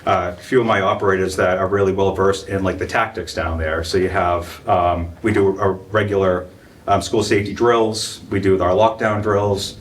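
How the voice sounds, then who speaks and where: A police chief updating a town board in a meeting room, talking loosely with many fillers. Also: male voice, low-pitched, fairly even intonation, normal speed. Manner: casual, filler-heavy, loose and earnest. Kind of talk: public meeting presentation. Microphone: room microphone from a distance. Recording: distant room mic, faint noise.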